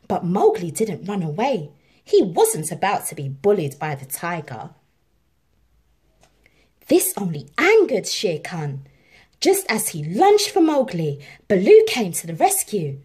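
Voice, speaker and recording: A woman reads a children's story aloud in an expressive, scripted style. Her pitch moves in lively swings, her pace is slow and measured, and her voice has a husky edge. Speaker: storybook narrator.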